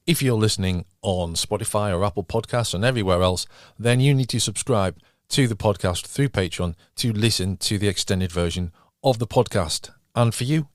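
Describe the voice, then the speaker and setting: A man with a fairly low voice hosts a podcast. He speaks casually and a little hesitantly, in a conversational style. The sound is close and dry, with no room echo. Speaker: podcast host. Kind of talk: casual discussion.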